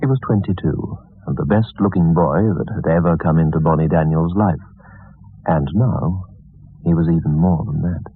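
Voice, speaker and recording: A grave radio storyteller with a low, husky voice. He narrates a mystery in measured, literary phrases. The old recording is dull and dry, with no room around him. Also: dry recording, faint music behind. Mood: measured, dramatic. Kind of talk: dramatic scripted radio narration.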